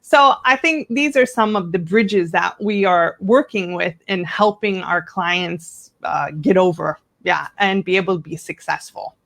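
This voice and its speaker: Animated american woman telling work stories. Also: measured pace, creaky, hardly any pauses. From United States. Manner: animated, acts out quoted dialogue in a higher voice. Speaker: guest, English coach. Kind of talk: conversational storytelling interview.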